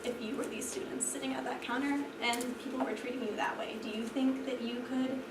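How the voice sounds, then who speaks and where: Woman, kinda high voice, reading to a class, far from mic w noise. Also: fairly even intonation, a little fast. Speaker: trainee teacher rehearsing a read-aloud. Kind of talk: reading aloud and questioning a class. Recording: echoey, distant mic, clear background noise.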